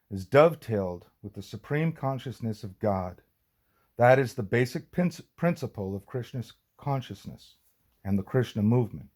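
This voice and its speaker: A man narrates a religious text in an audiobook style. His voice sits at an average male pitch. He reads formally, with measured and deliberate phrasing that sets each clause apart. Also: darkish tone. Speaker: reader of a spiritual text.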